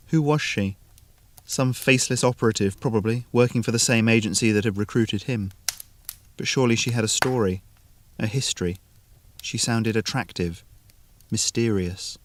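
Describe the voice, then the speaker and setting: A man's voice: medium pitch, moderate pace, a little hiss or hum. A man narrating a scripted short story as the storyteller.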